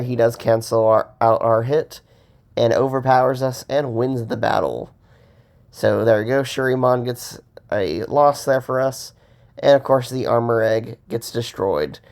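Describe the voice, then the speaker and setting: A man with a cold casually commenting on gameplay as host, rambling with fillers and pausing for breath. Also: medium pitch, gravelly, darkish tone. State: has a cold.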